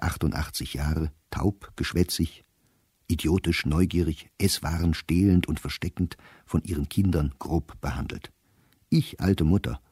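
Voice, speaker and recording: A middle-aged male diarist with a very low, dark voice. He reads his entries for a radio play in measured, clipped phrases, precise and dryly wry, with a husky edge. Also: dry recording, clean studio sound. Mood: dry, sardonic. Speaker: actor reading a diary. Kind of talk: literary diary narration in a radio play.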